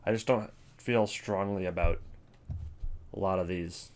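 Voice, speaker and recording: A man's voice: deep voice, steady tone, unhurried, slight background noise. A man giving offhand, opinionated casual commentary as a video creator ranking things.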